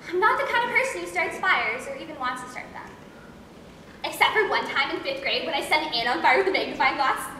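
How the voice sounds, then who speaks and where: A woman's voice: sits high, animated, fairly quick. A woman acting a lonely, wistful girl in a confessional, reflective amateur stage monologue. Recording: echoey hall, distant mic, noisy.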